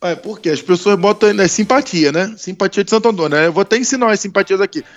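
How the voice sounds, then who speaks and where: High-voiced man ranting in casual banter. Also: fast, lo-fi sound. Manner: heated, swearing, laughs a lot. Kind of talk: casual banter about football.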